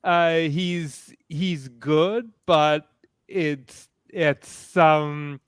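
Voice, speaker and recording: Male panelist, higher-pitched smooth voice, unhurried and hesitant, close dry sound on a video call. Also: fairly even intonation, dry recording. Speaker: podcaster and panelist. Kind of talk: casual panel discussion.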